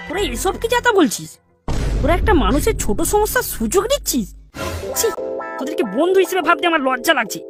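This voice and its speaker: An actor voicing a sly, flattering, scheming village friend in a comic cartoon dub. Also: very high-pitched, fast talker, over music. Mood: indignant.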